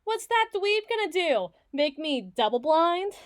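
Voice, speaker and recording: A woman's voice: very high voice, sing-song, unhurried, exaggerated voice. A woman playing a foul-mouthed, stubborn villain in an audio roleplay, blustering and sarcastic with her partner. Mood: brusque, dismissive. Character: brash, foul-mouthed, hot-tempered villain woman, gruffly soft on partner. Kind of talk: ASMR roleplay voice acting.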